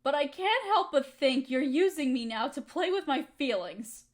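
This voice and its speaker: Woman acting as young woman, high voice, hurt and resentful, emotional, accusing, audio drama monologue. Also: lively intonation, moderate pace. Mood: subdued, wary. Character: hurt, resentful young woman confronting the friend she loves.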